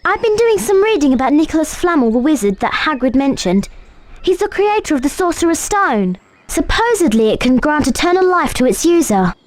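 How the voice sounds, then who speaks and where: A woman's voice: sits high, very animated, brisk, no room sound. An actress playing an earnest, bookish young schoolgirl witch with a precise, urgent manner in a video game. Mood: earnest, concerned. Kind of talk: video game character acting.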